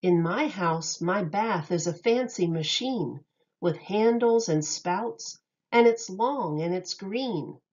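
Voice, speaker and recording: A woman reading a rhyming picture book aloud in an expressive, sing-song way meant for young children. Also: lowish voice, slow pace, lo-fi sound.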